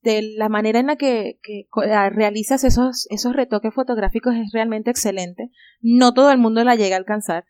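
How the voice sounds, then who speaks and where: A woman's voice: fairly high pitch, fairly even intonation, fairly quick, a little mellow, no echo. A woman hosting an interview, introducing her guest with admiring words and frequent fillers. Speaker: host. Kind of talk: interview podcast.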